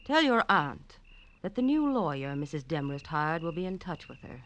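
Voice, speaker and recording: Menacing noir villainess, low voice, curt, mocking, commanding, faintly noisy old radio drama. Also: female voice, big pitch swings, normal speed, fairly bright tone, dull audio. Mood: cold, commanding. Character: cool, menacing, mocking gun-toting woman. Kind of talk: 1940s-50s radio detective acting.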